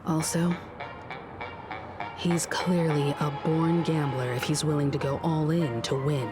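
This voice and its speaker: An actress playing a composed, cautious woman strategist in a video game, speaking in a measured, analytical way. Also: low voice, steady tone. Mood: measured, wary. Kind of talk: scripted video game dialogue. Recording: game audio with music behind.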